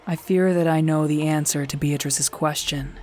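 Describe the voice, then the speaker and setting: An actress playing a battle-hardened warrior sister, who narrates in the first person in a measured, firm way. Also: female voice, low-pitched, steady tone, a little mellow, slight background noise, close to an everyday voice. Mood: calm firm. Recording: rain ambience behind the voice.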